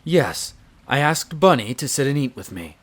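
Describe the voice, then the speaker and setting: A man's voice: mid-range voice, very animated, normal speed. A man playing a proud, imperious vampire heir in an audio roleplay, speaking in formal, haughty phrases. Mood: haughty, irritated. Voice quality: haughty, clipped formal diction.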